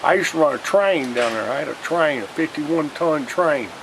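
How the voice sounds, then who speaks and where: A man's voice: a little high, lively intonation, normal speed. An older man with a Southern US accent casually reminiscing in a folksy, plainspoken way. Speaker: interviewee, retired coal miner. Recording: noisy outdoor recording with wind.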